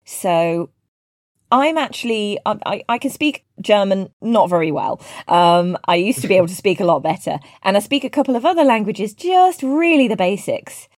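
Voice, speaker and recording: A woman's voice: mid-range voice, lively intonation, moderate pace, a little mellow, dry recording, studio quality. Chatty woman on a video call. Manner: chatty, with frequent fillers and restarts. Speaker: interviewer and teaching team lead. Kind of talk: friendly video-call interview.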